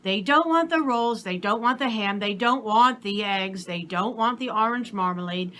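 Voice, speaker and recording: A woman reading a story aloud in expressive, scripted storybook narration. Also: medium pitch, moderate pace. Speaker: story reader.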